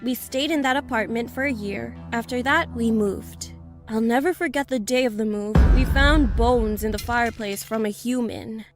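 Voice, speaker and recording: A woman's voice: on the high side, normal speed. A woman narrating a scary story in the first person. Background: prominent background music.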